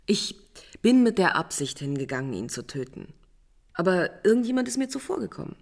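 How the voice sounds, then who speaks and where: A woman's voice: medium pitch, big pitch swings, brisk, creaky. An actress playing a proud, defiant married woman under suspicion in a radio crime drama, guarded and curt in manner. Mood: bitter, confessing.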